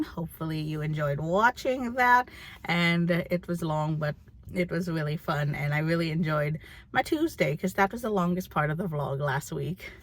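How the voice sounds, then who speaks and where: Rambling young woman vlogger, slightly low voice. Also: a bit bright. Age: young adult. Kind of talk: casual chatty vlog.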